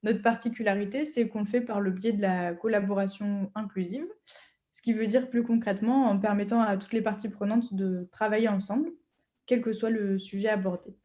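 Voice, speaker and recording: A woman's voice: mid-range voice, steady tone, brisk, mellow, dull audio. A woman hosting an online webinar, explaining the program with frequent hesitations. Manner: hesitant, many fillers. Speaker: webinar host. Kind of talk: webinar moderation.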